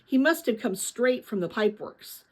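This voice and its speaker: A woman narrates a children's novel with theatrical expression. Her voice sits fairly high and moves freely up and down, with a husky, breathy edge to it. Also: normal speed. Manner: expressive, animated storytelling. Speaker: audiobook-style reader of a children's novel. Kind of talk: dramatic scripted story narration.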